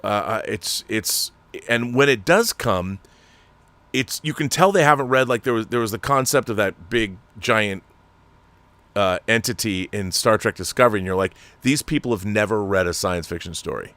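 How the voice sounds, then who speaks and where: A man's voice: on the low side, lively intonation, normal speed, a bit bright, no echo. A man hosting his channel and reading viewer letters, ranting with exasperation and repeating words as he talks off the cuff. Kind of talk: unscripted commentary.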